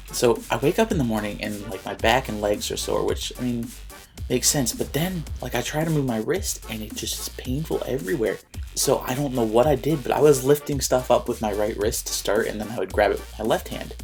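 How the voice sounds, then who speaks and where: A man's voice: medium pitch, darkish tone. A young man with a cold and a stuffed-up nose, chatting casually and rambling with lots of likes and ums while he plays a video game. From Philadelphia area, United States. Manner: rambling, frequent likes and ums, mildly annoyed. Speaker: gaming YouTuber. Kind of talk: casual gameplay commentary.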